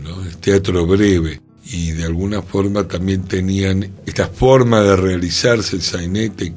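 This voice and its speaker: A male radio co-host who speaks in halting, broken-up phrases. He talks very slowly in a lowish voice, with soft music behind him. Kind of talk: radio talk about theater history.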